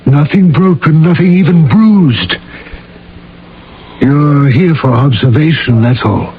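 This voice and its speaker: An actor playing a grave, concerned government man in a radio drama, speaking in a measured, solicitous way. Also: fairly high pitch, slightly rough, heavily acted. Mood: soothing. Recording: tinny old radio broadcast.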